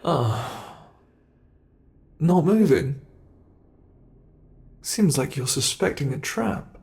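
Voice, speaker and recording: A British man voicing an ancient male monster in an ASMR horror roleplay, speaking with soft, mockingly polite menace. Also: fairly high pitch, expressive, vocal fry, exaggerated voice. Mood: teasing. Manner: slow, soft-spoken, teasing menace.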